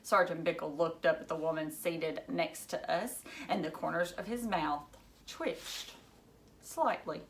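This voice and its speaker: A woman narrating a children's novel aloud in an expressive read-aloud style. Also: deep voice, unhurried, a little hiss or hum.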